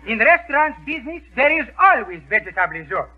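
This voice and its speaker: An excitable Greek restaurateur in an old radio comedy, speaks through a thin, phone-like 1930s recording. His voice is very high and swoops around as he mangles his grammar in a thick accent. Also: a little fast, heavily acted. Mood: genial, warning. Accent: Greek, put on. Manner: garbled grammar, mock-learned phrasing. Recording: muffled, band-limited 1930s radio recording.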